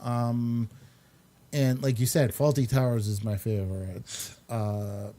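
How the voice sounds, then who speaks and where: A man's voice: medium pitch, level tone, slow, dark tone. A man hosting a casual panel chat, rambling through his points with many ums and uhs. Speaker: show host.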